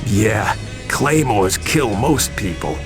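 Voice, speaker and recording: A man's voice: lowish voice, expressive, slow pace. An actor playing a nervous, put-upon, wisecracking male police detective in a video game. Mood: nervous, urgent. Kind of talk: video game cutscene acting. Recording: loud music behind the voice.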